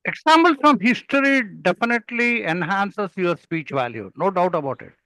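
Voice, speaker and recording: A man's voice: high-pitched, expressive, normal speed, pushed and bright, dry recording, rough recording. An older man with an Indian accent, speaking as a mentor in an interview and telling anecdotes in an emphatic storytelling way. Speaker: public speaking mentor and guest.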